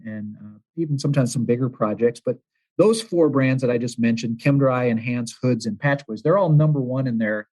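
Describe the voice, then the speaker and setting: A man's voice: mid-range voice, a little mellow, poor audio. A middle-aged man from the western United States, a business executive answering interview questions in a friendly, explanatory way with frequent fillers. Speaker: franchise executive guest.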